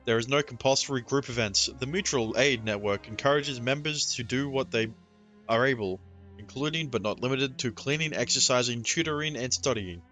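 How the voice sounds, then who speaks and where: A man gives casual live game commentary and reads on-screen text. He is wry and teasing, with a bright, crisp-edged voice. Faint game music sits behind him. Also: medium pitch, fairly quick. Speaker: game streamer.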